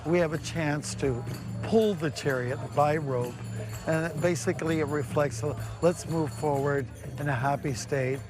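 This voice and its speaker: A man's voice: on the high side, steady tone, a little slow, rough recording. Man explaining a festival over music. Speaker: festival participant. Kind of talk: interview.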